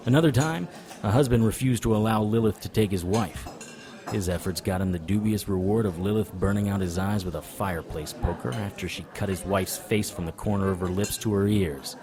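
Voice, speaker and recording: A man's voice: lowish voice, brisk, crowd noise, close to an everyday voice. An actor playing a hard-bitten male monster hunter, narrating noir-style in first person with dry, wry delivery.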